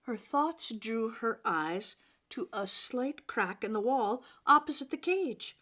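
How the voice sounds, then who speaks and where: A woman reading a children's story aloud in an expressive, dramatic way, with pauses and emphasis for young listeners. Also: mid-range voice.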